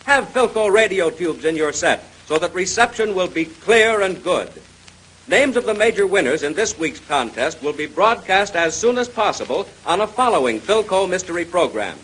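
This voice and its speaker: A male radio announcer in 1930s style, giving an emphatic contest sales pitch. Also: sing-song, normal speed, fairly bright tone. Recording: noisy vintage radio recording.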